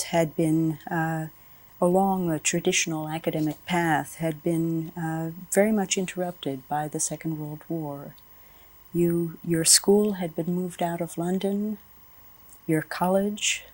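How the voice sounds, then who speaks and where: A woman interviewer speaks very slowly, with a slightly low voice. She frames her long questions with deliberate care, giving each detail its place. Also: a little mellow, poor audio. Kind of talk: oral history interview questions.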